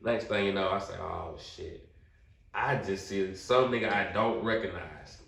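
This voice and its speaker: A young man spins a story in loose, slangy talk. He jumps into other people's voices when he quotes them. His voice sits a little low and comes from a distance in a roomy, echoing space. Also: unhurried, gravelly. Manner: animated, slangy, acts out quotes in a high voice. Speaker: podcast guest. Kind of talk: casual storytelling. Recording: roomy echo, distant mic.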